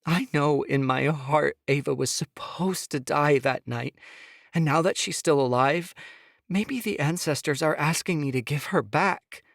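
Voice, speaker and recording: A man's voice: on the high side, unhurried, gravelly, fairly bright tone, no echo, clean studio sound, pitched above the performer's own voice. A man voicing a frightened young mother who pleads through tears, in an audiobook reading. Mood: pleading tearful. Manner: pleading, tearful, strained.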